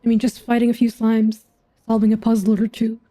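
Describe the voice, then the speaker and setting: Mellow, higher-voiced woman gamer. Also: monotone, a little fast. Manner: informal, musing aloud. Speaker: gaming content creator. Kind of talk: casual playthrough reaction commentary.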